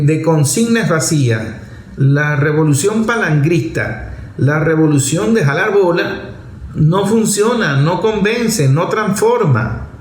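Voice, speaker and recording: A Venezuelan man with a somewhat high voice speaks as a political commentator. His delivery is an impassioned, emphatic monologue that leans on rhetorical repetition. The recording has a roomy echo with faint noise behind him. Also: darkish tone. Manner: emphatic, rhetorical, repeats phrases for effect.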